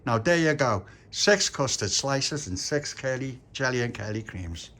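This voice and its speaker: A man doing a motherly shopkeeper, raised a little in pitch. She talks briskly but kindly and fusses over the children. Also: expressive, fairly bright tone. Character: warm, motherly older woman running a cake shop.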